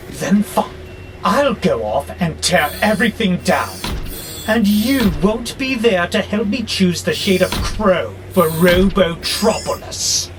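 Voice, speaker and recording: A man's voice: high voice, lively intonation, music in the background. A man acting in an audio drama as an arrogant, frustrated warehouse robot plotting war, with a grandiose, exasperated delivery. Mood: defiant. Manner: grandiose, slow and theatrical, exasperated. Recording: clearly noisy background hum.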